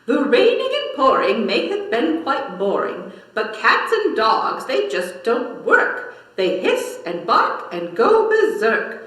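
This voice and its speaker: A woman's voice: a little high, fairly bright tone, pitched above the performer's own voice, exaggerated voice. A woman voicing a spirited witch who chants rhyming spells in an emphatic singsong. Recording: very echoey room, slightly distant mic.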